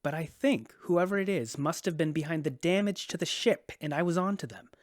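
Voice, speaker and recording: A man's voice: a little high, fairly quick, dry recording, lightly acted. Guy doing pushy suspicious activist dude from a space radio show, self-important, emphatic, dry close sound. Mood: conspiratorial, determined. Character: officious, suspicious male passenger activist, self-appointed investigator. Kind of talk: sci-fi radio drama acting.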